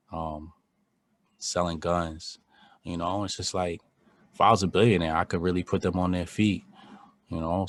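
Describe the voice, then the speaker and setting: Deep-voiced man, earnest podcast guest. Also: a bit bright. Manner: earnest, reflective, speaks from personal experience. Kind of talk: candid conversation.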